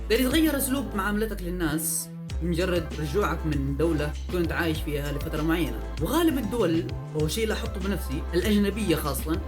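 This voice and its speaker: Rapid-fire vlogger. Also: male voice, sits high. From Yemen. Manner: lively, emphatic, rhetorical questions. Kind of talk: fast opinion monologue. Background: faint background music.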